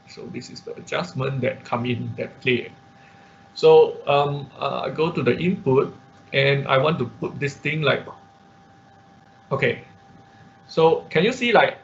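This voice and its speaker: A man teaching a live online tutorial step by step, halting and repeating himself as he checks that listeners follow. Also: medium pitch. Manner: halting, repetitive, checks understanding with "okay" and "right". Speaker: instructor. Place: online class from a computer. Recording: dull lo-fi audio with background noise.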